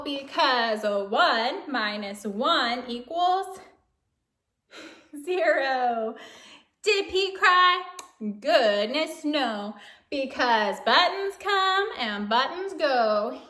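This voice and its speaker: Female children's read-aloud narrator, very unhurried, playful and exaggerated, sing-song delivery, recorded in an echoey room. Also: high-pitched. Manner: animated, playful, exaggerated exclamations and questions to young listeners. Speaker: storyteller reading a picture book to children.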